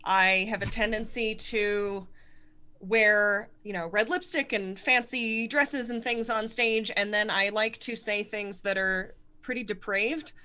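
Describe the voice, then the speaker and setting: A woman from Connecticut with a Connecticut accent, a stand-up comedian speaking fast and articulately in a casual interview, self-deprecating and laughing often. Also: mid-range voice, steady tone, sharp tone. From Connecticut, USA.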